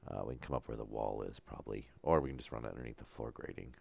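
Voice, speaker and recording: A man calmly talking through a survival game as he plays, low-key and unhurried, with no put-on enthusiasm. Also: vocal fry, a little hiss or hum. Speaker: gameplay commentator. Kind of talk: casual let's-play commentary.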